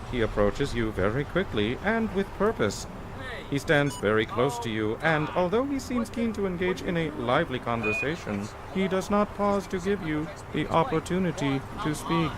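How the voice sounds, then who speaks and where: A man playing a naive, animated, high-voiced alien. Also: noisy background. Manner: stilted, formal, literal speech without contractions. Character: anxious, earnest, naive alien observer posing as human. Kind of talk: comedic audio fiction acting.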